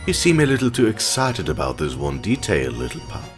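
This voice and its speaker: A man's voice: low voice, wildly swooping pitch, a little fast, soft background music, full character voice. A man playing a haughty, sardonic animal prosecutor in a courtroom audio drama, theatrical and condescending. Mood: smug, condescending.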